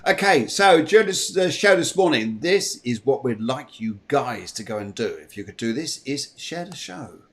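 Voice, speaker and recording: A man's voice: mid-range voice, animated, moderate pace, few pauses, fairly bright tone, slight background noise. A man hosting a livestream morning show in a chatty, jovial way, often repeating his phrases. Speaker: show host.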